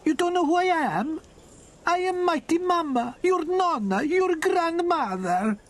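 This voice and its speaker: A tiny old Italian granny, acted out by a man, pitched very high and shrill. She is exuberant and bossy, full of warmth and fire, speaking broken English in a storybook reading. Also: animated, measured pace. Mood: delighted, effusive. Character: warm, fiery tiny elderly Italian grandmother.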